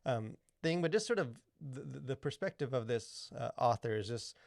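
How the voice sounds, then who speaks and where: A man's voice: medium pitch, animated, normal speed, vocal fry, darkish tone, no echo, studio quality. A man co-hosting a podcast, chatting casually and rambling with lots of fillers. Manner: rambling, many fillers like "like" and "you know". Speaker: podcast co-host. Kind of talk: casual chat.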